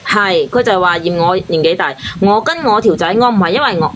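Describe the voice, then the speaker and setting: A woman's voice: lowish voice, fairly quick, heavy background noise. A woman calling in for relationship advice, pleading and defensive in a casual, argumentative chat. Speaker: caller seeking relationship advice.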